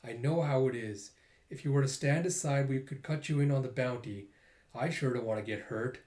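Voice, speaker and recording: A man voicing a calculating mercenary assassin who negotiates in an unhurried, businesslike way. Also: mid-range voice, a little mellow, lightly acted. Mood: cool bargaining. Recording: roomy echo.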